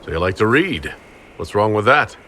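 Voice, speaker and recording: Low, lively, wry male fantasy leader. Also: normal speed, a little hiss or hum. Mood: teasing. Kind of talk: video game voice acting.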